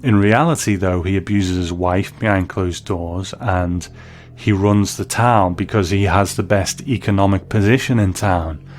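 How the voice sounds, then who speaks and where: A man's voice: low voice, a little slow, slight background noise. A man giving scripted review commentary as a narrator. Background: music bed.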